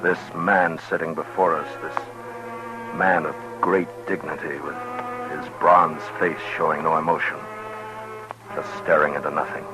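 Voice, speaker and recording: An actor playing a grave, weary frontier lawman in 1950s radio western style, speaking with restraint and deliberate pauses. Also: extremely low pitch, flat delivery, poor audio. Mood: somber. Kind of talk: 1950s radio western acting with first-person narration. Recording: 1950s radio broadcast with music.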